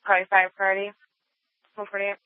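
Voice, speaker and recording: A man's voice: sits high, completely flat delivery, fast talker, clean tone, no echo. A man working as a police dispatcher, relaying terse, clipped, procedural messages over the radio. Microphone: radio dispatch microphone. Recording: tinny, phone-like police scanner audio.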